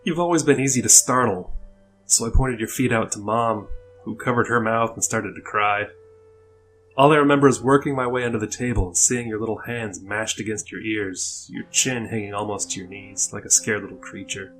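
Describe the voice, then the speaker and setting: A man's voice: lowish voice, moderate pace, fairly bright tone, soft background music, natural voice, poor audio. Man acting a caring older brother, reminiscing. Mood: tender, wistful. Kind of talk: dramatic audio story dialogue.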